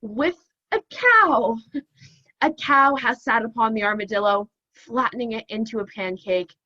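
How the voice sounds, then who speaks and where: A woman's voice: on the high side, expressive, measured pace, pushed and bright, dry recording, full character voice. A young woman playing a formal, unflappable radio station announcer in a comedic radio play. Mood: apologetic, composed. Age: young adult. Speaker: student actor. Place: room at home. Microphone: laptop webcam. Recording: slightly dull, dry webcam sound.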